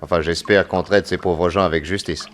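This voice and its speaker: Deep-voiced, composed detective played by a man. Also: fairly even intonation, fairly quick, faint music behind, lightly acted. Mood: curious. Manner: polite, probing, self-assured. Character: famous detective, a composed, principled, courteous man. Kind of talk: 1960s French radio drama acting.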